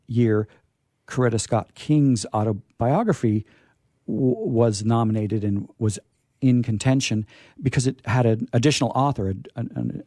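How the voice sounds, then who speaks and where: An American man hosting a radio show, chatting casually and enthusiastically about books in a halting, discursive way with emphatic repetition. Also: mid-range voice, warm tone, no echo, studio quality. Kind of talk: casual book chat.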